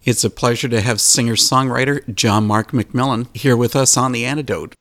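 A male host with a gravelly, fry-tinged voice introduces his show and poses questions in a measured, articulate manner. His mid-range voice sits close and dry, with no room around it. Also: medium pitch, fairly even intonation, a bit bright, no echo.

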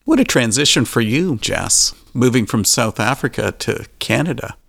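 Male interviewer, animated and gravelly, dry studio-like sound. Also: medium pitch, moderate pace, no echo. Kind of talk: interview questions.

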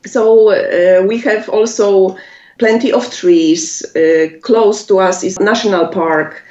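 A woman with a Polish accent, a native Polish speaker, answering interview questions in halting English with many pauses and laughs. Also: medium pitch, steady tone, mellow. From Poland. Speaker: musician guest. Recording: echoey, muffled lo-fi audio.

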